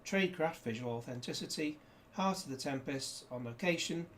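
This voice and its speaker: A man's voice: on the high side, animated, normal speed, a bit bright. Rambling man unboxing a disc. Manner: rambling, jokey asides. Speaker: disc reviewer. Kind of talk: casual unboxing chat.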